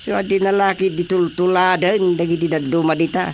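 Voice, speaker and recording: A man playing a chatty, grumbling older father in a radio comedy drama, with an Ilocano accent and an animated, mocking way of telling stories. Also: sits high, brisk, a little hiss or hum.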